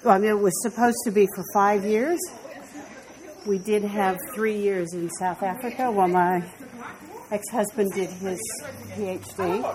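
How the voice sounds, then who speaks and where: A woman's voice: mid-range voice, steady tone, moderate pace, crowd noise, poor audio. An older American woman, a guest walking artist, chatting in a meandering way while walking outdoors through city streets. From United States. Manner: chatty, meandering, laughs easily. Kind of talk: casual walking interview.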